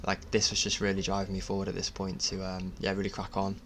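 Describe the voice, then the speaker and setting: A man narrating his own DIY project in a casual voice-over, speaking in a halting, self-effacing way. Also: sits low, completely flat delivery. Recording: clear background noise.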